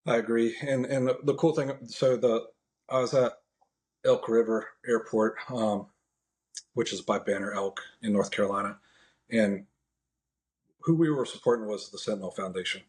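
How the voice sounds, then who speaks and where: An American man as a podcast guest, giving a casual, measured and somewhat halting first-hand account. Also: lowish voice, darkish tone. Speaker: podcast guest, National Guard air traffic controller.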